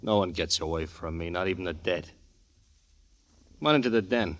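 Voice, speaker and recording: A man's voice: low-pitched, moderate pace, close to an everyday voice. An actor playing a cocky, menacing gangster with smug tough-guy talk and devotion to his mother, in an old radio crime drama. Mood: taunting.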